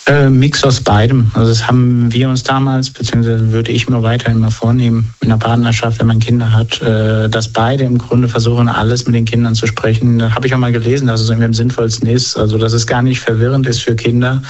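A man answering interview questions in a casual, matter-of-fact way, pausing now and then to search for the right words. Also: mid-range voice, flat delivery, darkish tone. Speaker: interview guest. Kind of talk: casual conversation. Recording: dull lo-fi recording.

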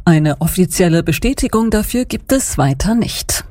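Female newsreader, measured and even delivery, warm low voice. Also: dry recording. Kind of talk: scripted radio news reading. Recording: dry studio sound.

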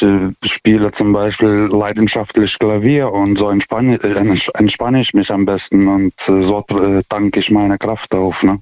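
A man's voice: fairly low pitch, a little mellow, dry recording, highs cut off. A man calling in to a radio show in a language that is not his first, talking with many hesitations and restarts. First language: not German. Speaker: caller. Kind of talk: phone-in call.

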